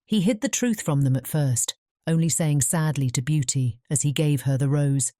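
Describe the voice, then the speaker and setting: A woman's voice: low-pitched, moderate pace, warm tone, no echo, studio quality. A woman narrating a fairy tale audiobook from a script.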